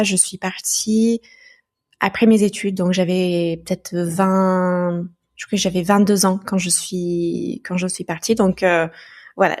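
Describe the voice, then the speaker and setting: A woman from the United States, a podcast guest talking through her experiences in a thoughtful, halting way with frequent restarts. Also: fairly low pitch, a little mellow. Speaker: podcast guest, founder of a training company. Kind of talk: casual podcast conversation.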